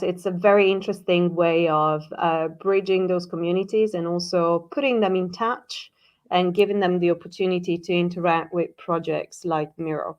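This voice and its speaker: Woman hosting an online event, lowish voice, polite and welcoming. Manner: polite, welcoming, with some ums. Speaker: event host. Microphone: video call.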